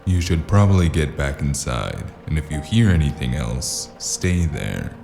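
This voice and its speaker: A weary noir investigator with an extremely deep voice full of gravel. He speaks in a dry, sardonic deadpan, as in a radio detective drama. Music plays clearly behind him. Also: a little slow, heavily acted. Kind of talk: noir audio drama acting and first-person narration.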